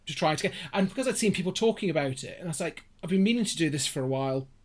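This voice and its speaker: A man's voice: a little high, expressive, rapid-fire. A man chatting casually as a podcast guest, rambling and often interrupting himself with asides. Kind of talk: casual chat.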